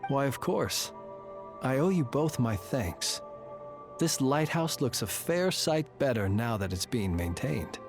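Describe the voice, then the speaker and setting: A courtly lighthouse keeper from a fantasy game, in a mid-pitched voice. He speaks formally and with measured, old-world politeness. Music is clearly heard underneath. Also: lively intonation. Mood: gracious. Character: courtly, composed gentleman lighthouse keeper of haunted island. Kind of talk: video game cutscene voice acting. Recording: music behind the dialogue.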